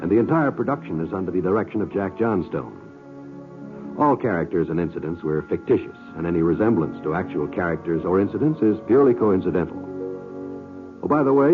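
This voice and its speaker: American guy announcer, low voice, formal 50s radio sound, tinny w music under him. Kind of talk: 1950s network radio announcing, scripted. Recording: tinny old radio broadcast, music behind.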